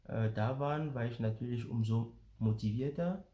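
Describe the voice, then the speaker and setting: A man from Benin explains family life calmly and slowly, pausing often with fillers and repeating words as he searches for them. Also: medium pitch, reverberant, dull audio. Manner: slow, hesitant, many fillers and repeated words. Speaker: father sharing family experience. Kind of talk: casual explanatory talk to camera.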